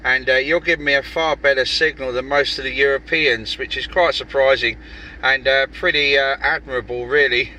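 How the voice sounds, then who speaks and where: A man's voice: mid-range voice, steady tone, moderate pace, bright, forceful tone, slight background noise, rough recording. A man chatting casually as an amateur radio operator. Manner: signs off turns with "over".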